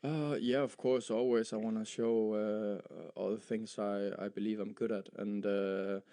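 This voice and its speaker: Low, smooth-voiced young Danish man. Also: flat delivery, dark tone. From Denmark. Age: young adult. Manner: halting, many fillers and repeated words. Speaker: footballer. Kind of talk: post-match style interview.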